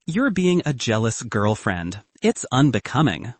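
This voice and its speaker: A teasing young roommate in an audiobook, voiced by a man. He is blunt and sarcastic, fed up with his friend. The delivery is animated, with a husky grain. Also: medium pitch, measured pace, no room sound. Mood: mocking. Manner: sarcastic, exasperated.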